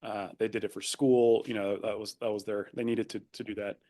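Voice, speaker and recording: Low-voiced man, thoughtful, many fillers. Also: little pitch movement, fairly quick, mellow. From Argentina. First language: Spanish. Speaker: podcast guest. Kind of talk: casual interview conversation.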